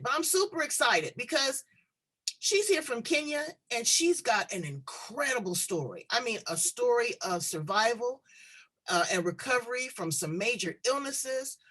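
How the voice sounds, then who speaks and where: A woman talk show host with a bright, forceful voice that swings widely in pitch. She talks in a playful, animated way with slangy asides, sitting on a video call. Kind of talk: lively talk show chat.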